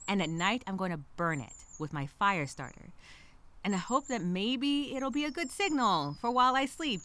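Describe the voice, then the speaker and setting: Woman acting a bright, expressive stranded castaway. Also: medium pitch. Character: stranded woman castaway, scared, determined, writing a survival journal. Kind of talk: dramatic first-person journal monologue.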